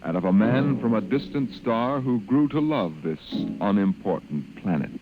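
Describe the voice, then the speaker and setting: A man's voice: lowish voice, lively intonation, normal speed, over music. A man announcing a scripted preview in dramatic 1950s radio style. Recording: thin, phone-like old radio recording.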